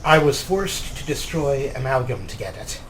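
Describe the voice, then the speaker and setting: A man hosting a video game playthrough, speaking in a deliberate storytelling way as he role-plays and reads out his choices. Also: medium pitch, big pitch swings, fairly bright tone, a little hiss or hum. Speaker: game player and host. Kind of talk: slow role-play narration of a video game.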